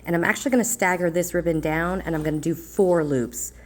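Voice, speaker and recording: A middle-aged woman, slightly congested, explaining a craft project step by step in a calm, plain, practical way. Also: on the low side, a little fast. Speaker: craft tutorial host.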